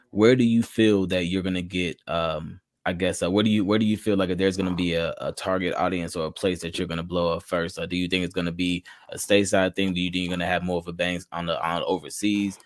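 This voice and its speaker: A man's voice: deep voice, flat delivery, quick pace, no room sound, studio quality. A man hosting a podcast and interviewing an artist in a laid-back, conversational way. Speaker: podcast host.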